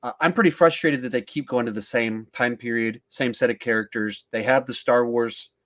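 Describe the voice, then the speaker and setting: A man's voice: medium pitch, normal speed, fairly bright tone. A man hosting a podcast, chatting casually about films in an emphatic way, often thinking aloud. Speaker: podcast host. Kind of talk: casual film discussion.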